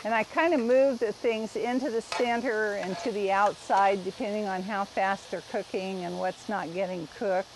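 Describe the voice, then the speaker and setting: A middle-aged woman giving a casual, unhurried cooking demonstration, explaining informally as she works outdoors. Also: mid-range voice, fairly even intonation, noisy background. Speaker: home cook demonstrating a recipe. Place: outdoors in a backyard garden.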